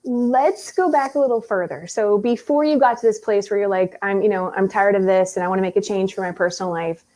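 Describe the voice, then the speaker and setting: A woman's voice: medium pitch, fairly quick, a little mellow, low-quality recording. A woman hosting a live interview show, encouraging and reactive as she asks her questions. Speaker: show host, coach. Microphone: video call.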